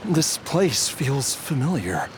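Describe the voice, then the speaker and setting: A man's voice: medium pitch, sing-song, slow, background noise. An actor playing an ailing, determined man with a failing memory, in earnest, dramatic video game style. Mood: uneasy, searching. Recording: game dialogue under prominent music.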